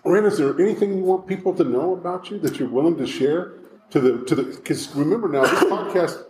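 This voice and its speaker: A man hosting a casual podcast, rambling and halting, with self-deprecating chuckles. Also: fairly high pitch, expressive, a little mellow, roomy sound, soft background music. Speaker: podcast host.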